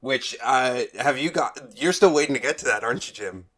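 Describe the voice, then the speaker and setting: A man's voice: mid-range voice, expressive, fairly quick, fairly bright tone. A man in his twenties co-hosting a podcast in casual, jokey, self-deprecating chat. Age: 20s. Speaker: podcast co-host.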